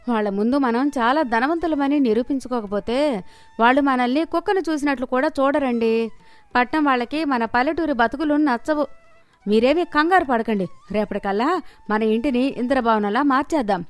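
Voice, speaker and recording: A woman's voice: high-pitched, normal speed, smooth, no echo, close to an everyday voice. A woman voicing a pretentious, scheming middle-aged village wife in a cartoon moral story, haughty and fussy. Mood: flustered. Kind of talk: animated moral story dialogue.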